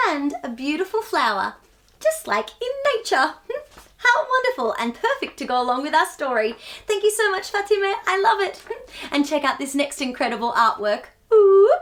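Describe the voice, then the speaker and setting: A woman's voice: very high-pitched, fairly bright tone. A woman hosting a children's storytime, speaking in a bubbly, exclamatory, encouraging way as she addresses young viewers directly. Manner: bubbly, exclamatory, gushing encouragement with frequent gasps. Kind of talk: children's read-aloud show presenting.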